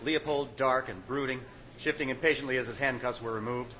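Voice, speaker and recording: A man acts as a 1920s newspaper reporter in an audio play, declaiming vivid descriptions in punchy phrases. His mid-pitched voice is bright and forceful. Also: fairly even intonation, fairly quick, close to an everyday voice, poor audio. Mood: dramatic, vivid. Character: 1920s press reporter dramatically describing a courtroom. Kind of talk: dramatic audio play narration.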